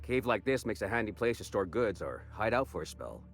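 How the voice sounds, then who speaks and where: An actor playing a wounded, wary smuggler in a video game, with a folksy drawl and short breath. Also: male voice, lowish voice, very animated, fairly quick, soft background music. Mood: weary, helpful. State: winded from a wound. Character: wounded, wary, gritty frontier smuggler man.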